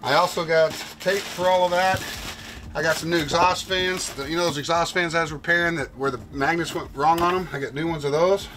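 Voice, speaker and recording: A man's voice: a little high, steady tone, brisk, background noise. A man in his fifties, plainspoken and chatty, explaining a repair job inside a boat cabin. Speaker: sailing vlogger. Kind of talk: casual how-to narration.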